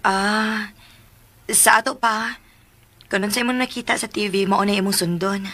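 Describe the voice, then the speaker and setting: A patient, kindly woman in a radio drama. Her voice is bright and sits at a middle pitch, with a gentle, explanatory manner. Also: steady tone, moderate pace. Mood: patient, instructive.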